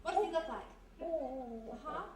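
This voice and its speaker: A woman playing a perky, quick-thinking detective's sidekick with a coaxing manner, in old-time radio mystery style. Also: high-pitched, a little mellow. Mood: brisk, eager. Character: quick-thinking young female detective's sidekick, former vaudevillian. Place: live performance in a roomy historic house. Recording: echoey live stage, distant mic, hiss.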